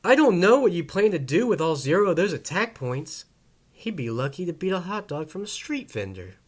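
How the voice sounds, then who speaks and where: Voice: mid-range voice, sing-song, normal speed. An actor playing an arrogant, mocking, pompous shadowy villain in a fan audio drama. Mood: scornful.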